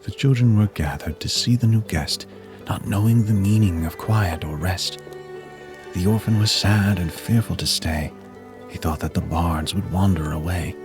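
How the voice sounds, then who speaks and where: A man storyteller reading a rhyming Christmas poem in a solemn, measured way. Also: sits low. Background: music.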